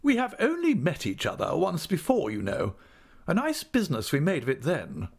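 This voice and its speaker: A man acts out a formidable elderly great-aunt from a classic storybook. The voice is raised high and swings widely, brusque and commanding, full of blunt disapproval. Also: wildly swooping pitch, moderate pace, vocal fry, husky, exaggerated voice. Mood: grudgingly civil.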